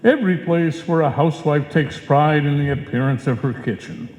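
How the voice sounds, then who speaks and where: A man's voice: on the high side, normal speed, a little mellow, natural voice. A man playing a pompous, hammy salesman who declaims like a Shakespearean actor in an old-time radio comedy. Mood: grandly declaiming. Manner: theatrical, pompous, grandly declaiming. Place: live performance in a reverberant hall. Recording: echoey hall, dull live stage sound.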